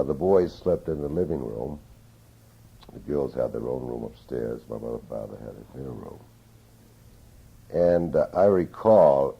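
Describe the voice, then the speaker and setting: Gravelly low-voiced older man reminiscing. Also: warm tone. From Newton, Massachusetts. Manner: slow, reflective, with many hesitations. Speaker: oral history interviewee. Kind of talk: reminiscing in an interview. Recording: dull lo-fi 1981 tape.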